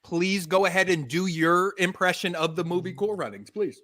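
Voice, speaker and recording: A man's voice: sits high, normal speed, pushed and bright. A man co-hosting a comedy podcast, teasing the others with dry wit. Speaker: podcast co-host. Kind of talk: casual comedic chat.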